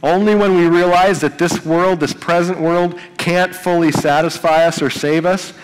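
A man in his forties preaching a sermon from a church stage, earnest, rising loud on key points and dropping low. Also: fairly even intonation, normal speed, clear, resonant tone. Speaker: pastor. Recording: long reverb of a church hall.

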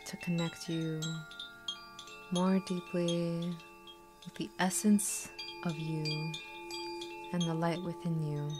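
A woman guiding a meditation in a soothing, unhurried manner, leaving long pauses between short instructions. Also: lowish voice, level tone, loud music behind the voice. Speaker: meditation guide. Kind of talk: guided meditation narration with slow instructions. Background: singing bowls and chimes.

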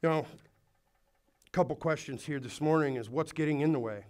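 A man's voice: a little high, a little fast, darkish tone. A man preaching a sermon to a congregation in a church, speaking earnestly and urging his listeners, with some restarts. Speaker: pastor. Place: church hall before a congregation.

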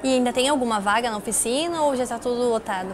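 A woman's voice: fairly high pitch, quick pace. A young woman with a Brazilian accent, hosting a news interview as a student reporter. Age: young adult. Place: glass-walled indoor lounge set.

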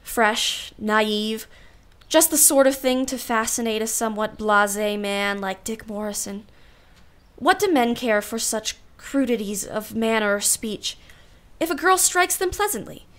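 A proud, genteel aunt from a period radio drama. Her voice sits a little high, and she speaks formally and precisely, with an arch, wry edge. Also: animated, measured pace, fairly bright tone. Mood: pensive, unsettled. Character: proud, wry, refined aunt, secretly jealous. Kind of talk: period radio play acting.

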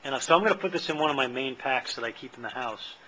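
A man's voice: medium pitch, expressive, quick pace, a crowd in the background, rough recording. A middle-aged man casually demonstrating a camping candle stove at an outdoor patio table, talking in a plain, rambling way with many fillers, recorded on a handheld camera. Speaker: channel host. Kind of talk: casual product demo.

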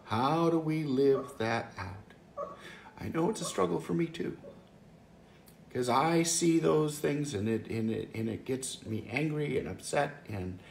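A man's voice: fairly high pitch, animated, unhurried, vocal fry. A man in his fifties, a pastor giving an earnest, conversational home devotional from his living room, often restarting his phrases. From Wisconsin, US. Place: living room by a fireplace, indoors. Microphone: camera or phone close up, seated. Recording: echoey room, faint background hiss.

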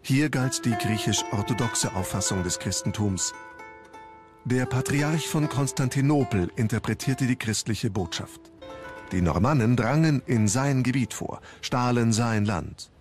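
A man narrating a scripted historical documentary in a storytelling style. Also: medium pitch. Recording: music bed under the voice. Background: background music.

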